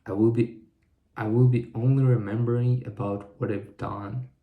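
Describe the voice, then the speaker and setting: A young man talking to camera in a reflective vlog, thinking aloud in a halting way with many restarts. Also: mid-range voice, steady tone, phone-quality sound. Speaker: vlogger. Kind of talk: reflective solo vlog monologue.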